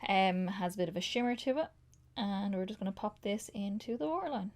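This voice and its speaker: Woman, beauty vlogger, casual and chatty, a touch nasal, mid-pitched. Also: fairly quick. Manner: chatty, rambling, with frequent ums and likes. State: slightly nasal from a sinus infection. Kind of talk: casual makeup tutorial chat.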